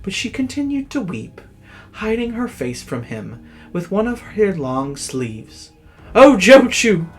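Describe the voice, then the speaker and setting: A man's voice: high voice, sing-song, a little slow, a little mellow. A man narrating horror stories as host, in a dramatic, theatrical scripted style.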